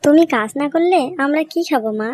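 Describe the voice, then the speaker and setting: A woman's voice: sits high, animated, a little fast, a little mellow, dry recording. A woman voicing a caring young village daughter in a cartoon story, earnest and coaxing. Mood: concerned. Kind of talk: Bengali cartoon story voice acting.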